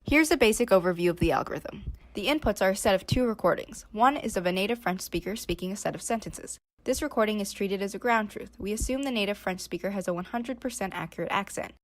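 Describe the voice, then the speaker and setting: A woman's voice: mid-range voice, lively intonation, quick pace, few pauses. A young adult woman reading a scripted narration for a student slideshow presentation. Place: voice-over recorded for slides.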